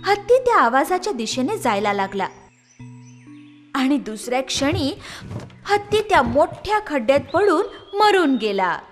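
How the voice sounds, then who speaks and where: A woman narrating a scripted children's moral story in an expressive, lilting storytelling way. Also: high voice, normal speed, long pauses, faint music behind.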